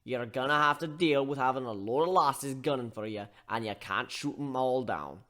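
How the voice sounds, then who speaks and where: A man voicing a gruff, scolding barkeep who gives blunt tough-love lectures in folksy slang in a dramatic story reading. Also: medium pitch, moderate pace, bright, forceful tone, lightly acted. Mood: firm, advising.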